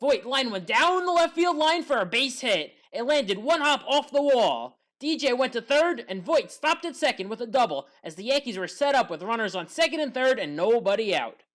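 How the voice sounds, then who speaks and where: A woman narrating scripted sports highlights play by play as a commentator, leaning hard on the big moments. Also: big pitch swings, normal speed, few pauses.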